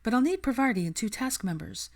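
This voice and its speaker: A woman's voice: a little high, sing-song, fast talker, a little mellow, pitched above the performer's own voice. A woman playing an earnest, idealistic young witch in a story reading, thoughtful and principled. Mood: earnest, reassuring.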